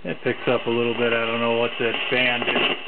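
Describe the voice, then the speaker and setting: A man's voice: medium pitch, monotone, brisk. A man giving a casual, off-the-cuff demonstration as a seller of vintage radios, talking in a blunt, offhand way. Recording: tinny narrowband audio, loud radio static. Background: loud shortwave radio noise.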